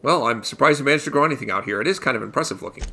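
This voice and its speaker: Male let's play host, casual commentary, gravelly from a cold, bright edge, close USB desk mic. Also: medium pitch, expressive, very fast, slight background noise. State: fighting a cold. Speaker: gaming channel host. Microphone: USB desktop condenser microphone. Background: game music.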